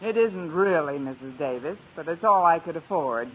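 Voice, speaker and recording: An actress playing a wry, lonely single English teacher in a 1950s radio sitcom, with dry, deadpan wisecracks. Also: female voice, deep voice, moderate pace, slightly rough. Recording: tinny, hissy old radio broadcast.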